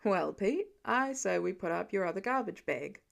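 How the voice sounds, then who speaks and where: Lady doing a worn out gentle dad in an audiobook, low voice, dry and low-key. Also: expressive, moderate pace, gravelly. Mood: gentle, reassuring. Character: gentle, weary middle-aged father, quietly caring.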